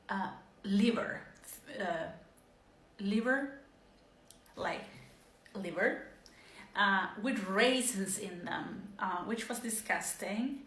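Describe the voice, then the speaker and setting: A woman's voice: medium pitch, a bit bright, echoey room. A woman in her forties from Finland, talking casually to the camera in a rambling monologue with many fillers and restarts and wry asides. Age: 40s.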